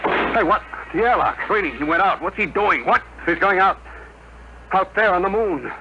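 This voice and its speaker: An actor playing an excitable, self-doubting spaceship crewman in a 1950s radio drama. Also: high-pitched, normal speed, heavily acted. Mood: alarmed. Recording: noisy old radio broadcast.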